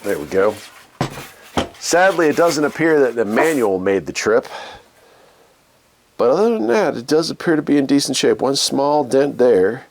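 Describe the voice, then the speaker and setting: A man's voice: medium pitch, big pitch swings, moderate pace, vocal fry, long pauses. An American man hosting a casual unboxing and demonstration, talking in a folksy, conversational way as he thinks aloud. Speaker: video host.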